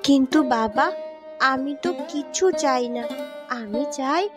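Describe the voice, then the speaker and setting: A woman's voice: sits high, measured pace, over music. A woman voicing a young, determined daughter of a poor farmer in a cartoon story, speaking in an earnest, defiant way. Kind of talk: Bengali cartoon story acting.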